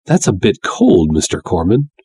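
A man voicing a poised, polite, gently reproving woman in a dramatic pulp story reading. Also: low-pitched, sing-song, normal speed, husky, no room sound, exaggerated voice.

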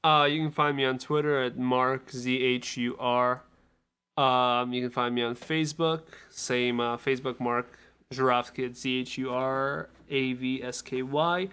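A man with a bright, forward voice co-hosts a film podcast. He talks as a critic in a casual, conversational way, at a middle pitch. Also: fairly even intonation, a little slow, smooth.

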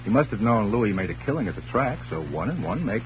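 A man's voice: on the low side, very animated, fast, over music. An actor playing a cocky, unflappable tough guy in a 1940s hardboiled radio drama, slangy and sardonic, teasing with endearments. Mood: needling. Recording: muffled old radio recording, faint hiss.

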